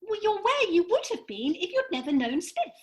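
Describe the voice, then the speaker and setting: Woman as a breezy fairy in a radio farce, high voice, super animated, arch and offhand. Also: moderate pace. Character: airy, brisk, matter-of-fact fairy woman. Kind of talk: comic radio play acting. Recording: home video-call recording.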